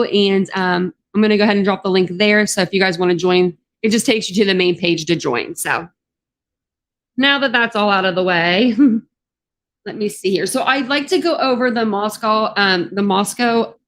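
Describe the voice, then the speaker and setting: A woman's voice: mid-range voice, moderate pace. Chatty Southern woman, scratchy morning voice. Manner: chatty, rambling, many ums. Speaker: true crime YouTuber. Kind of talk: casual livestream chat.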